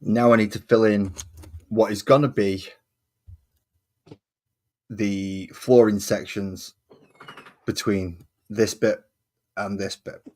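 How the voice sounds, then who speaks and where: A man's voice: fairly low pitch, fairly even intonation, slow pace, pauses often, a little mellow. An English man chatting casually and self-deprecatingly while building a hobby model on his stream. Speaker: hobby streamer painting miniatures. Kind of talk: casual chat while crafting.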